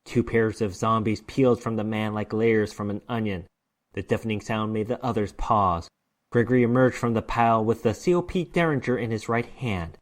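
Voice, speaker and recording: A man's voice: lowish voice, moderate pace. A man narrating a horror short story as a dramatic reading, with theatrical, emphatic delivery.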